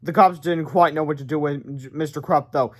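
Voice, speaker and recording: Guy reading a kids book out loud, voice a bit high, theatrical but informal narrator. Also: a little fast. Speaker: read-aloud narrator. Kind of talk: animated children's storybook narration.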